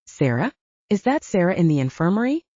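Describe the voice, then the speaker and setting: A woman's voice: fairly low pitch, sing-song, fairly quick, warm tone, no echo, lo-fi sound. A woman voicing a tearful middle-aged mother wolf in an audiobook, overwhelmed and fussing, with a choked delivery. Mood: anxious, fussing.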